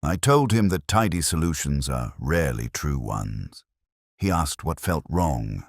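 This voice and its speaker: A man reading scripted first-person detective fiction in a measured, brooding, literary manner. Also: extremely low pitch, sing-song, gravelly, dry recording, clean studio sound. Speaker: narrator.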